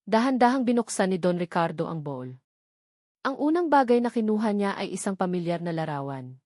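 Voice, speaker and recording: A woman reading a dramatic love story as narrator, in a solemn, sentimental and deliberate way. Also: medium pitch, sing-song, darkish tone, no room sound, studio quality.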